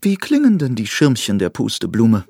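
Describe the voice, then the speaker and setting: A man voicing a gentle, caring male hare in a children's story, speaking high and bright. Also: huge pitch swings, moderate pace, vocal fry, breathy edge, no room sound, studio quality, heavily acted. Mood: caring, cheerful.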